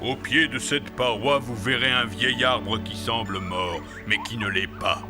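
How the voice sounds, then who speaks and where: A man voicing a kindly, wise talking tree who mentors young animals in a cartoon, with a measured theatrical delivery. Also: medium pitch, lightly acted. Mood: careful, instructing. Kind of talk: cartoon dub acting. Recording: music under the dialogue.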